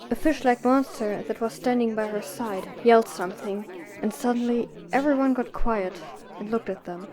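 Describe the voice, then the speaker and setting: A woman's voice: a little high, moderate pace, crowd noise. A woman reading scripted narration for an audio drama.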